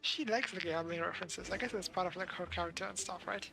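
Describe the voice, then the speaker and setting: A game streamer giving casual live commentary, thinking aloud in halting fragments over game music. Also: mid-range voice, vocal fry, poor audio.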